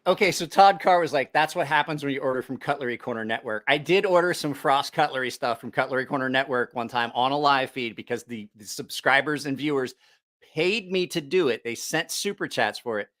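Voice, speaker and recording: A man talks casually as a livestream host at a middling pitch. He rambles, doubles back to repeat himself and swears freely. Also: a little fast, fairly bright tone. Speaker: YouTube knife channel host.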